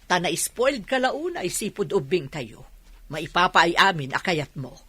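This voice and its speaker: A woman's voice: fairly low pitch, sing-song, normal speed, sharp tone, breathy edge, dry recording, full character voice. A woman playing a bitter, reproachful sister in an audio drama. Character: bitter, reproachful sister scolding her spoiled brother. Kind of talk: Filipino audio drama acting.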